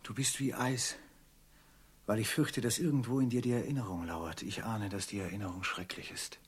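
Husky, brooding radio drama traveler. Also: male voice, lowish voice, fairly even intonation. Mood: brooding, uneasy. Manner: grave, deliberate, insistent questioning. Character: brooding, feverish male traveler searching for a hidden truth. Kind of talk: classic German radio drama acting.

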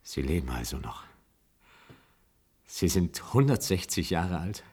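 An actor playing an astonished, shaken man in a German radio play, husky and halting. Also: sits low, very animated, darkish tone, a little hiss or hum. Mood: stunned.

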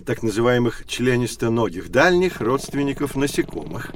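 A man's voice: mid-range voice, big pitch swings, normal speed, a little hiss or hum. A man playing a kindly, knowledgeable professor, patiently explaining things in a children's educational audio drama. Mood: instructive. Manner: patient, didactic.

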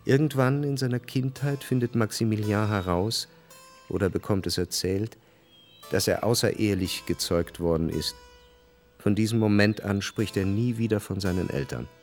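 A man's voice: on the low side, moderate pace, darkish tone, soft background music, close to an everyday voice. A man giving scripted narration as the narrator of a historical radio drama.

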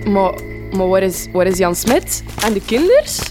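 Blunt, lively Flemish village woman. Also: medium pitch, measured pace. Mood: brisk, concerned. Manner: colloquial, blunt. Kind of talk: radio drama acting. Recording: music behind the voice.

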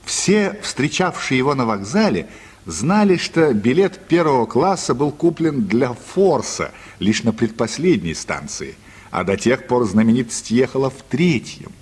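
A man with a husky voice narrating a radio play as an expressive, scripted storyteller. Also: medium pitch, a little slow. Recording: reverberant studio hall sound.

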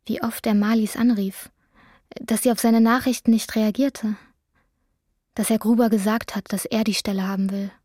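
Mellow woman as a literary drama narrator. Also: mid-range voice, flat delivery, moderate pace, no room sound, clean studio sound, close to an everyday voice. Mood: reflective, measured. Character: female narrator recounting an anxious man's inner thoughts.

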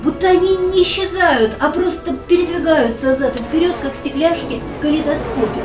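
An actress playing a young woman in a radio play, speaking an inner monologue in a soft, often whispered voice. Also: high voice, moderate pace, music in the background, natural voice. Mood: tense restless. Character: young woman, fragile, resolved, inward. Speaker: heroine voicing inner monologue.

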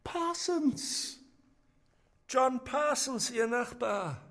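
An actor playing a frightened, naive male prisoner who pleads haltingly in a German radio play, with a raspy, breathy voice that drifts into whispers. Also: very high voice, expressive, a bit bright, heavily acted. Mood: startled, urgent.

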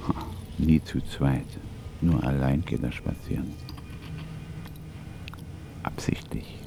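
An actor playing an embittered, suspicious old communist in a psychiatric clinic, in terse radio drama monologue. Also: male voice, a bass voice, steady tone, slow, exaggerated voice. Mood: sullen. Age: older. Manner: terse, grumbling, breathy half-whispers swelling to declamation. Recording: noisy ambience behind the voice.

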